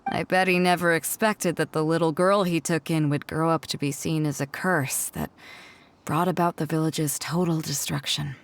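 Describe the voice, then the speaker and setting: A woman's voice: sits low, fairly even intonation, moderate pace. A woman voicing a bold, proud female ship captain in a video game, confident and teasing, with a theatrical delivery. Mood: wistful. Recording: music under the voice. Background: background music.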